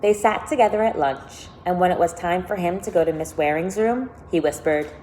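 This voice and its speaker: A woman teacher reading a children's novel aloud to her class, speaking deliberately and pausing to think aloud with questions. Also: on the low side, dark tone, a little hiss or hum, rough recording. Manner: deliberate, questioning, stressing key words for young listeners.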